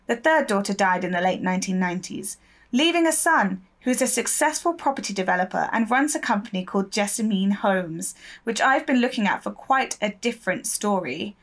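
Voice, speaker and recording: An actress playing a persistent, shrewd newspaper reporter in an audio drama, speaking confidently and articulately. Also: female voice, on the high side, a bit bright.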